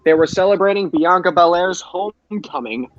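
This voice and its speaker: A man with a slightly high voice leads a casual podcast recap, moving through events in a plain storytelling way. The audio is a little dull and lo-fi. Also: normal speed, noisy background. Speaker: podcast host leading the roundup.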